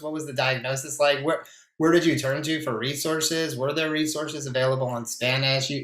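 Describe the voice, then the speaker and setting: Man hosting a video-call interview: halting, encouraging, roomy echo around him. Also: mid-range voice, a bit bright. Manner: halting, repeats words, encouraging. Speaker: interview host. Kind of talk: interview questions.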